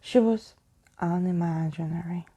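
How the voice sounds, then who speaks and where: A woman's voice: lowish voice, unhurried, dark tone, breathy edge, muffled recording. A woman voicing a timid, bewildered young boy in a storybook, speaking hesitantly. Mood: earnest. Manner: hesitant, stammering.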